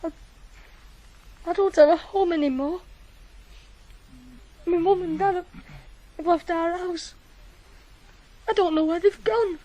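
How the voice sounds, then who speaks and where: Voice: very high voice, slow, long pauses, dark tone, low-quality recording. A performer playing a proud, stubborn, wounded young farm boy in a radio drama. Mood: hurt, tearful. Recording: 1980s radio play, some background noise.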